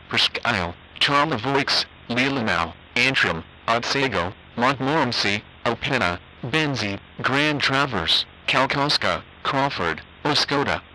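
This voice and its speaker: Very bright, forceful male weather alert announcer. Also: medium pitch, poor audio. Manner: formal, measured, impersonal. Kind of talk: scripted emergency weather bulletin.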